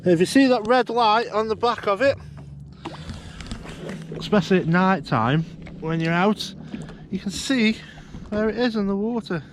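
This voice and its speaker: A man with a notably high voice talks casually to camera as he vlogs. He is enthusiastic and explanatory, recorded outdoors on a boat with clear water and boat noise behind him. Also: lively intonation, moderate pace, long pauses. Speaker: vlogger.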